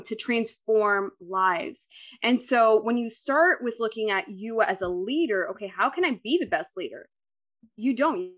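A woman from the Dominican Republic, a guest on a podcast, talking in an energetic, upbeat way and laughing often. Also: on the high side. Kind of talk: casual podcast interview.

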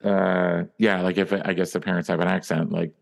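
A man's voice: low-pitched, flat delivery, normal speed, gravelly. Man teasing dryly in casual banter. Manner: dry teasing, tells stories with voiced quotes. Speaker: podcast co-host. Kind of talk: casual comedic chat.

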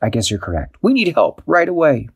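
A man voicing a calm, practical female host in a bedtime story, speaking earnestly. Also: medium pitch, brisk, creaky, husky, voice pushed up, full character voice. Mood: worried.

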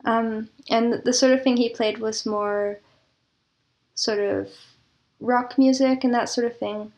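A woman speaking as an interview guest in casual, reminiscing conversation, with a thoughtful, measured way of talking. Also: on the high side, steady tone, a little mellow. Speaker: interview guest, composer and audio drama producer.

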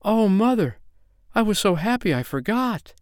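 Guy doing a shy little girl from a fairy tale, high pitched, polite n earnest, up and down a lot. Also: big pitch swings, moderate pace, smooth, no room sound, studio quality. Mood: sheepish. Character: timid, gentle little lame girl.

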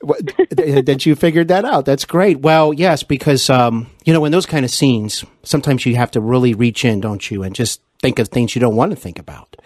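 A man hosting a casual interview, speaking in a hesitant, halting way and offering compliments. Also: medium pitch, a little mellow, no room sound, studio quality. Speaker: podcast interviewer, host.

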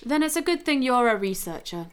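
A woman's voice: on the high side, very animated, a little fast, a little hiss or hum. A woman playing a stern, blunt space-police commander in an audio drama, curt and authoritative. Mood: interrogating.

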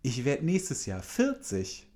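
A man's voice: mid-range voice, wildly swooping pitch, slow pace, a little mellow. Man, late thirties, casual chatty storyteller, lots of ums. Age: 30s. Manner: rambling, frequent fillers. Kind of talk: casual chat, anecdote.

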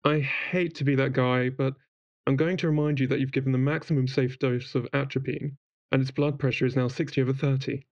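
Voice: medium pitch, steady tone, a little fast, darkish tone. Muffled, matter-of-fact colleague over comms. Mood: calm, firm. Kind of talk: audio drama dialogue.

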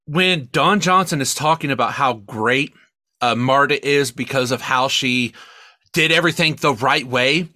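A man hosting a podcast, chatting casually about a film in an excitable, rambling, profane way. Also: mid-range voice, a little slow, no room sound, studio quality. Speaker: podcast host. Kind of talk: casual film review chat.

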